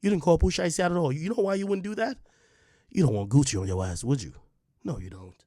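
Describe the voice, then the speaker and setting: A man's voice: fairly high pitch, lively intonation, fast, warm tone, no echo, studio quality. A young adult man delivering a confrontational rant straight at the listener, repeating his points with heat. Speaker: online media personality. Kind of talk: direct-to-camera rant.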